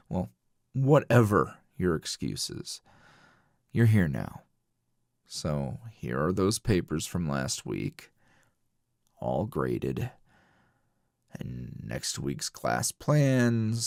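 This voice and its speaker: Man acting a slow, gravelly, teasing weary teacher. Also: low voice, big pitch swings, darkish tone, dry recording, studio quality, heavily acted. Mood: weary, reproachful. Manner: languid, drawling, with sighs and pauses. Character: weary, low-voiced adult male teacher, teasing, flirtatious and dry. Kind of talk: intimate ASMR roleplay acting.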